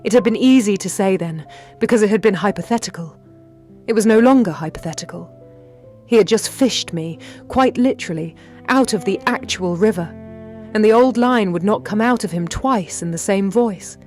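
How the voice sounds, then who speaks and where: An actress playing a wry, brave 1930s London lady detective, narrating in a measured, literary British voice. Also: medium pitch, a little mellow, faint music behind, natural voice. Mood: spent, tender. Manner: measured, literary, dryly understated. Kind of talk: scripted first-person audio drama narration.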